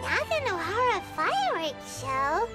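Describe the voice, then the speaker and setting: A woman voicing a tiny, excitable, childlike fairy companion in a video game, squeaky and exclamatory. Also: a little slow, full character voice. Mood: curious. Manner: exclamatory, inquisitive. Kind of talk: English video game voice acting. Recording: background music throughout.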